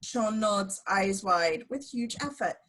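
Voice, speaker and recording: Casting: female action narrator for a script read-through, mid-pitched. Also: lively intonation, slow, a bit bright. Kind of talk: scripted narration, reading screenplay directions.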